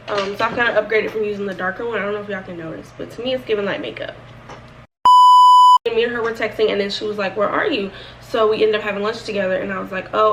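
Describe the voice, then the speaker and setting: Chatty young woman with a gravelly voice. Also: medium pitch, recorded from a distance, very noisy. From Florida, United States. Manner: chatty, rambling, with many fillers and restarts. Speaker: vlogger. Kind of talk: casual storytime.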